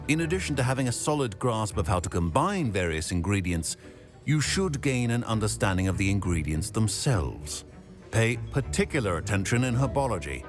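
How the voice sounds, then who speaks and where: A man's voice: on the low side, natural voice. An actor playing a strict male professor in a video game, lecturing in a stern, clipped manner. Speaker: potions professor. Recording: game audio with music.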